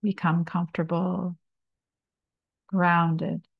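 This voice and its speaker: A woman meditation teacher guiding a body scan, speaking calmly and soothingly in short phrases with long pauses. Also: lowish voice, vocal fry, breathy edge, no room sound, narrow, tinny audio. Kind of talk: slow guided meditation, body scan narration.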